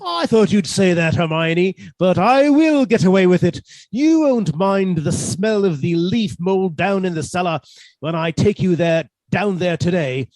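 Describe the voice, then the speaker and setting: A man's voice: high-pitched, expressive, measured pace, a little mellow, no echo. A man playing a henpecked husband turned murderer in a radio suspense drama, fussy, precise and politely menacing. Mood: gloating, menacing.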